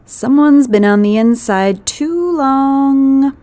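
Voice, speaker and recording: A woman's voice: sits high, takes it slowly, mellow, a little hiss or hum. A woman voicing a restless, ambitious female house cat, dreamy and eager, in a radio play.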